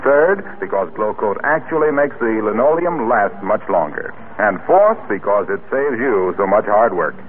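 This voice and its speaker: A man's voice: fairly low pitch, sing-song, normal speed, few pauses. An American man announcing a scripted 1940s radio commercial in a polished, emphatic sales style. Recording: tinny, hissy old radio recording.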